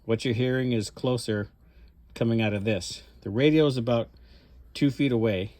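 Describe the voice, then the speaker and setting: A man's voice: mid-range voice, normal speed, a little mellow, no echo. A man presenting his cosplay prop modification in a casual show-and-tell style, rambling through it step by step. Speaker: hobbyist presenter showing a cosplay prop mod.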